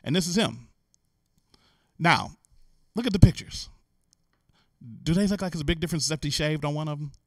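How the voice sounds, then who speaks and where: A man hosting a show, giving animated, incredulous, joking and slangy commentary on the news. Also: on the high side, fairly quick, pauses often, no room sound, clean studio sound. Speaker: host. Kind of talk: comic commentary on news stories.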